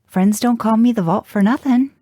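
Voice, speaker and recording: Smitten female librarian acted by a woman in narrated fiction: eager, playful, giddy, pitched up. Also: normal speed, warm tone, no room sound, clean studio sound, natural voice.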